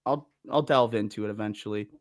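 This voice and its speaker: A man's voice: on the low side, a little fast. A young man on a podcast as a guest, talking casually and animatedly, swearing freely. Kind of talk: casual chat.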